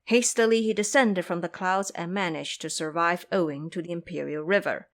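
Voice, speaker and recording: A woman's voice: on the low side, fairly even intonation, normal speed. Woman narrating a fantasy tale dramatically. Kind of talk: scripted dramatic storytelling narration.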